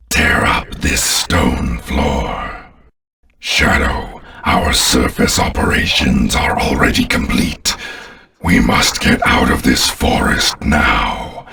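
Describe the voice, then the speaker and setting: An extremely deep alien overlord, speaking slowly and with contempt, as in a video game. The tone is grandiose and forceful, with a gravelly grind, and sounds set a little back from the mic. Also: male voice, distant mic, exaggerated voice. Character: menacing alien warlord commanding an invading army.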